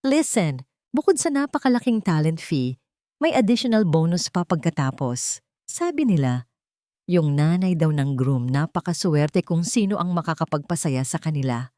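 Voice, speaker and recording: A woman voicing an excited, pushy young female best friend in an audio drama, gushing in slangy Tagalog mixed with English. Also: low-pitched, normal speed, no echo, studio quality. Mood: urging.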